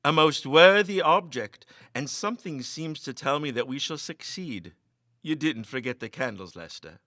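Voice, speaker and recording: A man voicing a bland, wry, adventurous gentleman who teases his friends in a storybook reading. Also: fairly high pitch, expressive, normal speed, no room sound, clean studio sound. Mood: eager teasing.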